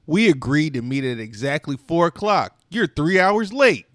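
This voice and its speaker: A man's voice: a little high, expressive, moderate pace, fairly bright tone, dry recording, clean studio sound. A man doing a fuming grumpy grape friend. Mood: indignant. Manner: indignant scolding.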